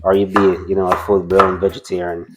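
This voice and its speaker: A man's voice: deep voice, level tone, a little fast, over loud music. A Jamaican man from the island's countryside, talking casually through a cooking demonstration and often repeating words as he starts a thought. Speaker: guest cook.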